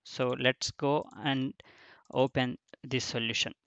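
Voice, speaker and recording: A man's voice: medium pitch, level tone, slow pace, a bit bright. A man in a middle register talks through a screen-recorded tutorial one step at a time, often stopping on "uh" and "um". The sound is dry and a little dull, like a home desk mic with no room echo. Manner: explains step by step with frequent fillers and restarts. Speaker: instructor.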